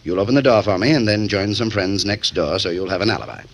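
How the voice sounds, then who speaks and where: A man's voice: fairly low pitch, quick pace. A man playing a formal, politely menacing murder syndicate boss in a 1940s radio drama. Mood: brisk, assured. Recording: vintage 1940s radio recording.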